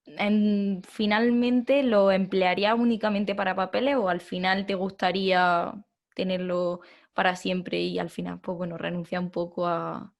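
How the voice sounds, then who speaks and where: A woman with an Andalusian Spanish accent asks her questions slowly, in a low, level voice. She hesitates and restarts her sentences as she finds her words. Her delivery stays even and unhurried. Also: creaky. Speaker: interviewer. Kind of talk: interview questions.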